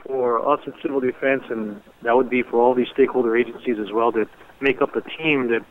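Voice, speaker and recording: A man speaking as a civil defense official, giving a measured, hesitant emergency briefing over a telephone conference line. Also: mid-range voice, steady tone, a little hiss or hum. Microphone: telephone conference call. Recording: narrow, tinny phone conference line.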